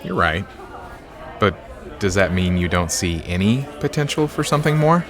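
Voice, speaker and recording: An actor playing a bookish, romantic man courting a friend in an audio drama, courteous and gently earnest. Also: low-pitched, big pitch swings, normal speed, crowd noise. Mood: gentle, hopeful. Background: music.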